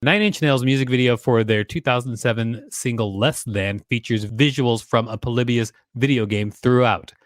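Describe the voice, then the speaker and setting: A man co-hosting a podcast, reading an encyclopedia article aloud in a plain, even way. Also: mid-range voice, normal speed, few pauses, dry recording, clean studio sound.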